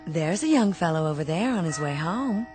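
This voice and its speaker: An actress playing a cool, mocking devilish dealmaker in a radio drama, sardonic and condescending. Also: female voice, fairly low pitch, lively intonation, moderate pace, darkish tone, faint music behind, natural voice. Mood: sly, mocking. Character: cool, sly, mocking devilish woman striking bargains.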